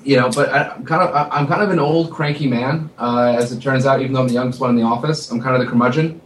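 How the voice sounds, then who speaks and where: A man's voice: mid-range voice, steady tone, fast talker. Man, 20s, casual rambling guest, filler-heavy, roomy echo, faint hiss. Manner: rambling, self-deprecating, many fillers. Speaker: studio artist guest. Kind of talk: casual panel chat. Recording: roomy, distant mic with faint noise.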